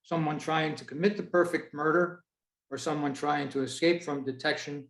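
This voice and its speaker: A man hosting an online meeting, giving an informal presentation in a measured, halting way over a video call. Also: on the high side, steady tone, a bit bright. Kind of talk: informal spoken presentation from notes. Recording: roomy, echoey video-call audio.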